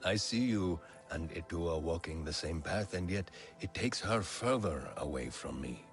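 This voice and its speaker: An actor playing a stern, grieving alien clan leader, a widowed father, solemn and measured in a video game. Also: low voice, lively intonation, faint music behind. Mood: solemn regret. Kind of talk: dramatic video game acting.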